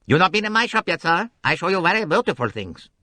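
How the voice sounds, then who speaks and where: A man voicing a suave male shopkeeper with a smooth, persuasive manner. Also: sits high, big pitch swings, fast, bright, forceful tone, no echo, voice pushed up, exaggerated voice. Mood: coaxing.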